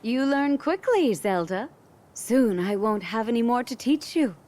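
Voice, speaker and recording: Mellow, poised, wise queen. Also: on the high side, measured pace, slight background noise. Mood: encouraging. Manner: calm, poised, encouraging. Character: gentle, wise, encouraging queen and mentor. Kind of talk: video game cutscene acting.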